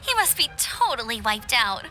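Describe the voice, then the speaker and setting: Perky young female scout, high voice, very animated, eager, chatty, anime game, music behind. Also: moderate pace. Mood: amused. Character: upbeat, helpful, friendly young woman scout. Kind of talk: anime game cutscene voice acting.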